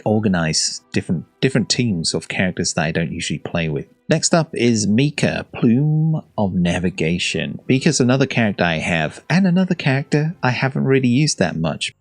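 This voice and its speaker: A man's voice: low-pitched, dry recording. A man hosting a casual, rambling reaction to game character trailers. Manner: rambling, easygoing, chuckling.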